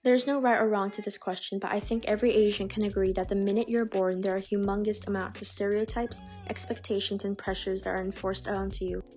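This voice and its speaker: Woman, measured scripted reading, mid pitch, dull lo-fi recording, faint music underneath. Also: little pitch movement, darkish tone. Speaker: narrator reading submitted stories.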